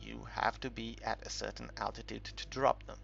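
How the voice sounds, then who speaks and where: A man with a fairly low, very creaky voice talks through a game in a casual tutorial style. He rambles and pauses as he thinks aloud. The sound is a little dull and lo-fi, with music playing behind him. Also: little pitch movement, fairly bright tone. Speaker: gaming video creator narrating a tutorial. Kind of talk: casual unscripted game commentary.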